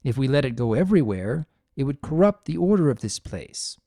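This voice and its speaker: Performer voicing a patient, soft-toned older pony mother. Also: medium pitch, brisk, no room sound, studio quality. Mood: explaining. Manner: calm, sensible, gently teaching.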